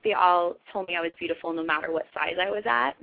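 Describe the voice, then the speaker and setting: A woman's voice: on the low side, fast talker, vocal fry. A woman from Hawaii chatting effusively as an interview guest, giggly and casual, over a phone call. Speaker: interview guest, model and entertainer. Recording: dull phone call audio.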